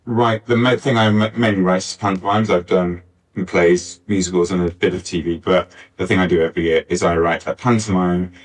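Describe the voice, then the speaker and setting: Lowish-voiced man in his forties, distant mic. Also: fairly even intonation, brisk. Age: 40s. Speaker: panelist, pantomime writer. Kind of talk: spoken self-introduction at live panel. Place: arts centre theatre, live panel. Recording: distant backup live recording.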